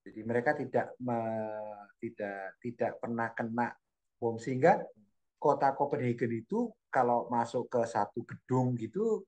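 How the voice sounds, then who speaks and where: A middle-aged man from Indonesia, chatting as a guest about his life abroad in an animated way, often repeating phrases while he searches for words. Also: medium pitch, unhurried. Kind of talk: casual storytelling chat.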